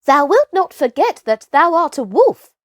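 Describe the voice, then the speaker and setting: A woman's voice: high-pitched, sing-song, a little slow, dry recording, studio quality, voice pushed up. A woman voicing an anxious, loyal elder-brother wolf in a storybook, speaking in earnest, archaic phrases. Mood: anxious warning. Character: eldest wolf cub brother, gruff-tender, anxious, loyal.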